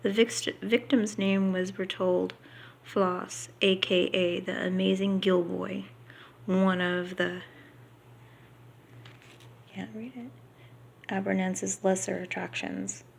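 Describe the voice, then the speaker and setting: Gravelly-voiced woman reading aloud. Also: mid-range voice, flat delivery, slow, pauses often, slight background noise. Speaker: vlogger reading a book aloud. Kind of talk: unrehearsed read-aloud with casual asides.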